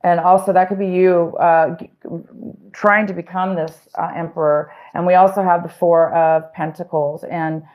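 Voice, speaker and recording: A woman with a lowish voice gives a tarot reading to her viewers. She is hoarse from losing her voice and talks haltingly, full of fillers and restarts. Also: little pitch movement, narrow, tinny audio. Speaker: tarot reader.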